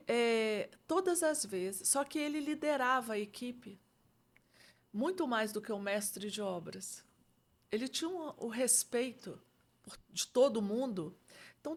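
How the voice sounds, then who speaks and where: A middle-aged Brazilian woman with a Brazilian Portuguese accent, speaking as a guest expert and explaining leadership ideas in a reflective, conversational way. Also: fairly high pitch. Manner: reflective, uses frequent "né?" and "entendeu?".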